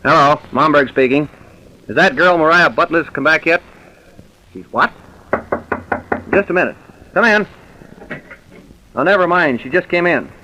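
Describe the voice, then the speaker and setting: An actor playing a stern, insistent police commissioner with deliberate authority, in old-time radio drama style. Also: male voice, fairly high pitch, lively intonation, bright, forceful tone. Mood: brisk, commanding. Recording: dull, hissy vintage radio recording.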